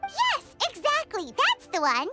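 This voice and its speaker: Squeaky, excitable tiny fairy girl. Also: a little slow, loud music behind the voice, heavily acted. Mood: eager. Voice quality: squeaky, childlike. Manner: exclamatory, bubbly. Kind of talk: animated video game voice acting.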